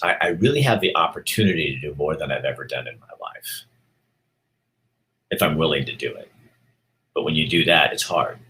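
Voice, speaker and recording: A man's voice: a bass voice, sharp tone. A middle-aged man from Oregon hosting a casual live stream, talking candidly to viewers and thinking aloud as he rambles. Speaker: show host.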